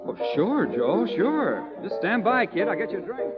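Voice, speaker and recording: An actor playing a breezy, easygoing young buddy hosting a party in an old radio drama. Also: male voice, high voice, big pitch swings, moderate pace. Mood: cheerful. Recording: muffled old radio, loud party music.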